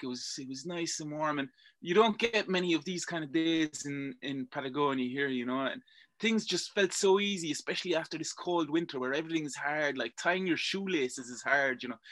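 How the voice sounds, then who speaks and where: A man from Belgium with an Irish accent, a rock climber being interviewed, talking in halting bursts full of repeats and "yeah". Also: fairly high pitch, a bit bright. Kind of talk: casual interview chat.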